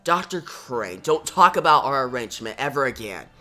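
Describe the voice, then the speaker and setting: A man's voice: mid-range voice, very animated, normal speed, bright, forceful tone. Man playing a curt, commanding senator. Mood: stern, urgent.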